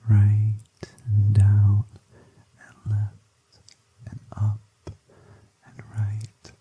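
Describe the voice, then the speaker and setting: An ASMR creator whispering slow, gently encouraging instructions into a close binaural mic. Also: mid-range voice. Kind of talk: whispered ASMR focus test.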